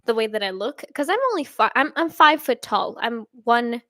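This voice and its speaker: A young woman hosting a casual live stream, reading viewer comments and rambling with lots of fillers and soft laughs. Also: animated, normal speed, no room sound, clean studio sound. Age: young adult. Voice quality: somewhat deep voice. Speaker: reaction channel host.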